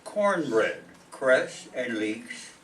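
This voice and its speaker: A man playing a know-it-all relative who speaks in a deliberate, informative way in a radio comedy play. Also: mid-range voice, big pitch swings, fairly bright tone, full character voice. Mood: matter-of-fact. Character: know-it-all male relative reciting history facts. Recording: noisy, distant stage microphone.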